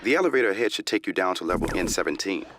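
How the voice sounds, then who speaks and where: An actor playing a businesslike male rebel guide in a video game, giving hurried directions. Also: sits low, lively intonation. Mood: brisk guidance. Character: businesslike male rebel ally guiding the player. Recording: noisy game audio with clicks.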